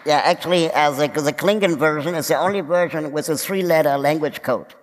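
A man's voice: on the high side, a little fast, background noise. A man giving a casual keynote talk on a conference stage, with frequent restarts. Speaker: keynote speaker. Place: large conference hall stage. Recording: reverberant hall.

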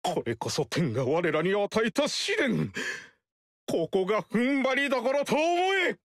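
A man's voice: sits high, big pitch swings, measured pace, fairly bright tone, no echo, studio quality, heavily acted. An actor playing a fanatical older male sorcerer who bellows heaven-invoking battle cries in a game. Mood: zealous. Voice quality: bellowing, sermon-like proclamations. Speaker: game character.

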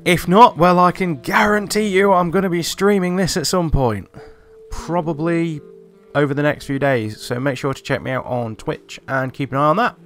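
A man's voice: fairly high pitch, moderate pace, a bit bright, soft background music. A man giving casual first-impressions commentary as he plays a video game. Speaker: game reviewer and streamer.

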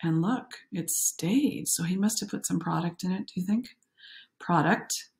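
A woman's voice: lowish voice, a little slow, gravelly, darkish tone. A middle-aged woman doing a picture-book read-aloud with chatty commentary, interjecting and putting questions to young listeners. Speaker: coach reading a picture book aloud to children.

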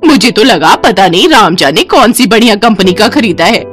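A woman's voice: medium pitch, expressive, moderate pace, fairly bright tone, faint music behind, close to an everyday voice. A woman voicing a mocking, gossipy neighbour with a taunting, cackling manner in a cartoon story.

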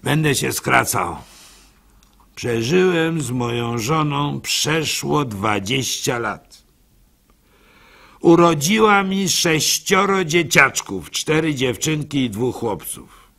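A man performing a first-person literary monologue for radio as narrator, in an expressive, folksy, conversational manner. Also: medium pitch, takes it slowly, creaky. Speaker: narrator and storyteller.

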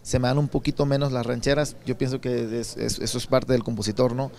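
A Mexican man in his thirties from Sinaloa, answering interview questions at an indoor expo booth into a handheld mic, relaxed and rambling with many repeated words. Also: medium pitch, fairly quick, gravelly. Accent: Sinaloan Mexican Spanish. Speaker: songwriter and singer.

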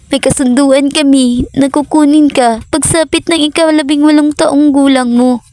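A woman's voice: sits high, steady tone, moderate pace, darkish tone, dry recording, close to an everyday voice. A woman voicing a fretful loving village mother. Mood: tender. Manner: scolding then tender, fussing. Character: loving worried village mother, middle-aged. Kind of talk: storybook cartoon voice acting.

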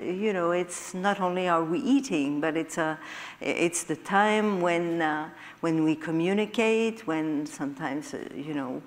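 A woman in her sixties with a Quebec French accent, French her first language, speaking on a discussion panel, full of hesitations and restarts. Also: sits low. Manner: halting, many hesitations and restarts. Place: stage in an event hall. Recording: hall reverb.

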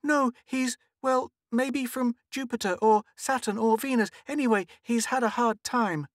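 A narrator voicing a bossy, excitable young girl in a story, impatient and earnest. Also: medium pitch, measured pace, no room sound, studio quality, voice pushed up, natural voice. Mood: earnest, excited.

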